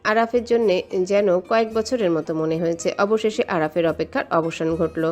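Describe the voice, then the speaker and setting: A woman's voice: medium pitch, brisk, a little mellow. A woman reading scripted romantic story narration as the narrator.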